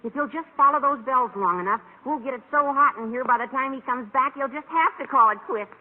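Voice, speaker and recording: A man playing an eager, scheming teenage schoolboy with excitable earnestness in a vintage radio sitcom. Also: extremely high pitch, fast talker. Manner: excitable, gee-whiz earnestness. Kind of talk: 1940s-50s radio sitcom acting. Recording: noisy, tinny vintage radio recording.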